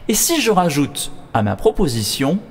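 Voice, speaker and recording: A man's voice: on the high side, sing-song, normal speed, in a large hall, over a noisy crowd. A man playing a slick start-up recruiter in an audio comedy drama, coaxing like a salesman.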